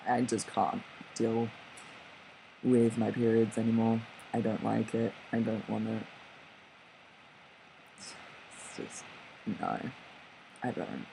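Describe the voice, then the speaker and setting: A man with a fairly high-set voice giving a casual, candid personal update to camera, chatty and laughing often between remarks. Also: creaky, darkish tone, a little hiss or hum, low-quality recording. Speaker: vlogger.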